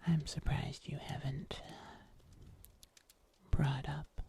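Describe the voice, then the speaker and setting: A performer voicing a calm, world-weary werewolf huntsman in a breathy, hushed whisper for a fantasy ASMR roleplay, deliberate and full of pauses. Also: mid-range voice, exaggerated voice. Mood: quietly confiding. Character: world-weary pink-furred werewolf huntsman, monster slayer, calm loner.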